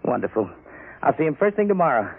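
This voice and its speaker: Creaky-voiced henpecked pal, old radio. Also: male voice, on the high side, sing-song, quick pace, phone-quality sound, full character voice. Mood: hopeful, eager. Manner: chummy, marveling. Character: henpecked husband, gullible eager old pal. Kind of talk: old-time radio drama acting. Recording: band-limited old radio broadcast recording.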